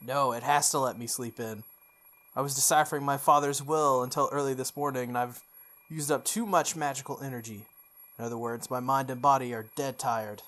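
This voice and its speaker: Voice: mid-range voice, fairly even intonation, brisk, fairly bright tone. A game playthrough host expressively reading story text aloud, stumbling and correcting along the way, with off-the-cuff asides.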